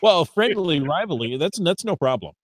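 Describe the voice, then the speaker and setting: A man's voice: on the high side, very animated, normal speed, no room sound. Upbeat American man co-hosting a podcast. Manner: upbeat, reads listener emails aloud, stumbles on foreign words. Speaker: podcast co-host. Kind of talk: casual podcast chat.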